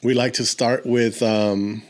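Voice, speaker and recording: A man's voice: mid-range voice, little pitch movement, slow. Casual man in his fifties hosting a podcast. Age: 50s. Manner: informal, swears casually. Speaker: podcast host. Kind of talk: casual conversational interview. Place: decorated home garage.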